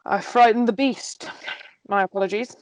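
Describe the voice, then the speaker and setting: A woman's voice: mid-range voice, moderate pace, dry recording, close to an everyday voice, poor audio. Casting: woman as sardonic, world-weary drunken dwarf nobleman, blunt, fantasy script read, close dry sound. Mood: drunk, wry.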